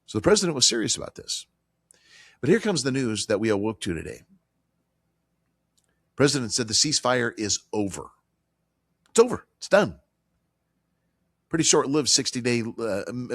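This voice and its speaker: A man hosting a financial talk radio show, hoarse from a cold, talking emphatically with fillers and repeated phrases. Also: mid-range voice, lively intonation, fairly quick, pauses often, a bit bright, no room sound, studio quality. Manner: emphatic, repetitive, conversational with fillers.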